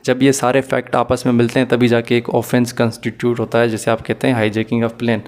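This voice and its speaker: A man's voice: mid-range voice, monotone, brisk, a little mellow. A man explaining material as a law teacher in an online lecture, methodically repeating and rephrasing points and mixing in English phrases.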